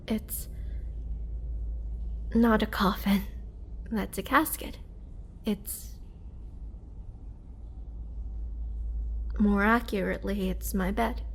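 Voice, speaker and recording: A gentle young female vampire, acted by a woman in an intimate roleplay audio drama. She speaks slowly at a middling pitch, soft and earnest, pausing often as if weighed down by remorse. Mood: sheepish, casual. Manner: soft, earnest, halting delivery with whispered moments.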